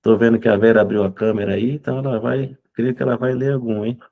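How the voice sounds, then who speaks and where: A man's voice: mid-range voice, steady tone, creaky, lo-fi sound. A man leading an online discipleship Bible class over a video call, fervent and often tagging his sentences with a questioning "né?". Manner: fervent, fills pauses with "né?". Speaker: Bible study leader.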